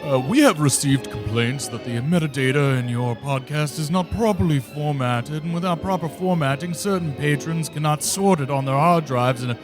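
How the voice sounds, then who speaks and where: A man plays a fussy bureaucratic inspector in a parody radio play. His fairly high voice is formal and pedantic, with clear music playing behind it. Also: normal speed, hardly any pauses, lightly acted. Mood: officious.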